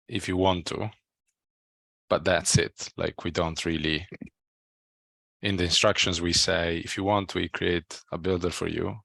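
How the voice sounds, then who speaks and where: A man with a very low, creaky voice talks through technical matters casually. He thinks aloud in a tentative way, close and dry on a headset mic. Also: fairly bright tone, dry recording. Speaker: software developer on a working group call. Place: small room at home, video call.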